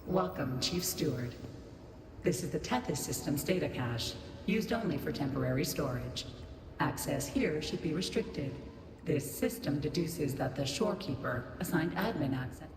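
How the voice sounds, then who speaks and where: A cold machine intelligence with a low female voice. It issues formal, procedural statements without feeling, like a video game system guardian, ringing through a long reverb over loud noise. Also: expressive, normal speed. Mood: impassive. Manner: formal, clipped, procedural announcements. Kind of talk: scripted video game voice acting. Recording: echoey reverberant hall, loud background noise.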